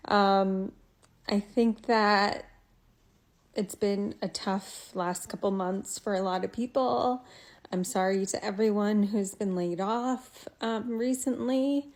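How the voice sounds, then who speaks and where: A woman at a mid pitch talks slowly and quietly in a personal vlog. She hesitates often and fills the gaps with "um.". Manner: subdued, hesitant with many ums. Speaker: runner laid off that day.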